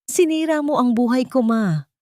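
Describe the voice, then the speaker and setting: A woman's voice: high-pitched, lively intonation, normal speed, dark tone, dry recording, clean studio sound, voice pushed up. A woman with a Filipino accent voicing a bitter, wronged son in an accusing, dramatic story reading. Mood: anguished, accusing. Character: bitter, wronged adult son confronting his mother.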